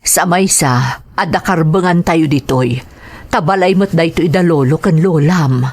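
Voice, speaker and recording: A woman's voice: low-pitched, measured pace, a little mellow. A woman playing a tired working mother, patient and resigned, in a family audio drama. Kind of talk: dramatized radio-style family drama acting.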